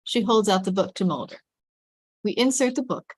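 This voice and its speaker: A woman's voice: mid-range voice, moderate pace, breathy edge, no room sound. A woman reading stage directions as the narrator of a scripted drama table read.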